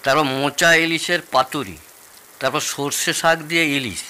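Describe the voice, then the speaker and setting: A man's voice: a little high, normal speed, bright, forceful tone, slight background noise. A man acting a boastful, food-loving middle-aged father-in-law with an East Bengali accent in an audio drama, theatrical and savouring. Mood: savouring, proud.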